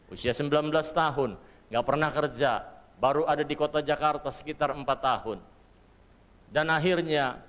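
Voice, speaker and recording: A man's voice: fairly high pitch, smooth. Middle-aged male preacher from Papua, earnest testimony, resonant voice, big hall echo. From Papua, Indonesia. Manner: earnest storytelling, addresses congregation directly. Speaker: pastor. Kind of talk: sermon with personal testimony. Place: church hall. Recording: long church hall reverb.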